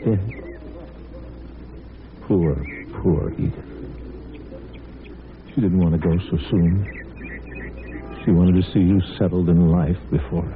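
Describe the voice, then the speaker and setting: A man's voice: low-pitched, steady tone, slow pace, long pauses. An actor playing a subdued, awkward middle-aged father in an old radio drama, on a tinny, noisy recording. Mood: somber. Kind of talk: 1950s radio drama acting.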